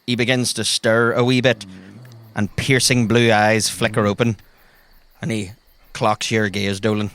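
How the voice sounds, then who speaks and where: A man's voice: on the low side, little pitch movement, unhurried, fairly bright tone. A man narrates a tabletop adventure dramatically in the second person as game master. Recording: music bed behind the voice. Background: background music.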